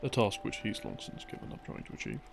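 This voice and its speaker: A man giving a halting, unhurried sleep-aid ramble, explaining things from memory and trailing off as he muses. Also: noisy background. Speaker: audio creator playing a rambling boyfriend. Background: soft background music.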